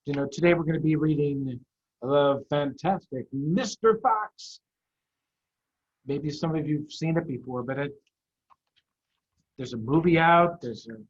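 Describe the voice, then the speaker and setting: A middle-aged American man, a head of school, hosting an online story time and reading aloud to young children in an animated, emphatic way with dramatic pauses. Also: a little high, slightly rough. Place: online video call. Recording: dull, muffled online video call.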